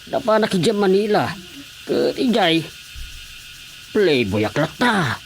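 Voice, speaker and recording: Sheepish aging playboy villager, Ilocano accent, lively melodic delivery, eager confessions, radio drama, faint background noise. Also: male voice, high voice, vocal fry, heavily acted. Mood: boastful, confiding. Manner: eager, rapidly repeated agreement, halting confession.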